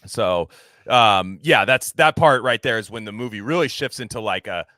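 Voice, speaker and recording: Bright, forceful low-voiced man, casual podcast host. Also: animated, no echo, studio quality. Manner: halting and rambling, often acts out imagined dialogue. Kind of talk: casual film discussion.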